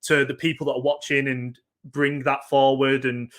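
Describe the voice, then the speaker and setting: A young man from Manchester with a Mancunian accent, giving a casual workshop talk on getting into esports. He pauses often with ums and repeats words as he thinks. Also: mid-range voice, level tone, a bit bright. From Northern England, Manchester.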